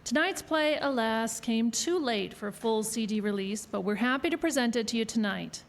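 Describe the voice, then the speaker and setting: A woman's voice: on the high side, expressive, moderate pace. A woman announcer giving a scripted introduction to a show. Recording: reverberant hall with faint background noise.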